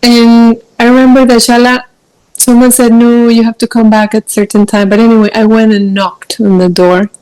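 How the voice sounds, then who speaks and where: A woman's voice: on the high side, flat delivery, normal speed, a little mellow, smooth, low-quality recording. A woman in her fifties from Costa Rica, with a Costa Rican Spanish accent, telling memories in a casual interview, often laughing and repeating words as she searches for them. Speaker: guest. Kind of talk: casual storytelling chat.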